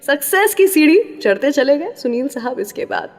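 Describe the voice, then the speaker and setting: A woman's voice: high voice, sing-song, normal speed. A woman hosting a radio show, reading scripted narration and mixing English phrases into her talk. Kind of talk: scripted radio narration about classic films. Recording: long reverb, faint music behind.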